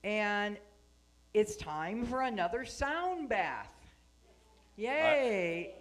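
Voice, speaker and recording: A woman's voice: mid-range voice, lively intonation, a little slow. A woman giving live spoken announcements to a congregation, speaking at a microphone in a meeting room.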